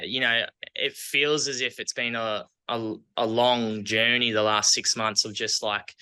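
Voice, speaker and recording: Aussie guy chatting as an interview guest, really bright forward voice, clean and resonant. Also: mid-range voice, clear, resonant tone. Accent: Australian. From Australia. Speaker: interview guest, athlete and coach. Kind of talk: casual interview chat.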